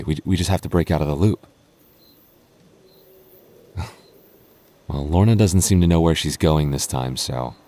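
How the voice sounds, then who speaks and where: A man's voice: sits low, mellow. A young man voicing a goofy, doting goth boyfriend in an ASMR roleplay, talking in a stammering, self-teasing way with frequent laughs. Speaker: voice actor.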